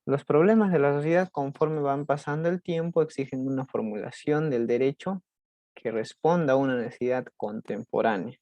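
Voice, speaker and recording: A young Peruvian man with a Peruvian Spanish accent, a student giving a recorded class presentation, halting with many restarts and fillers. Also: medium pitch, darkish tone, no room sound, highs cut off. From Peru.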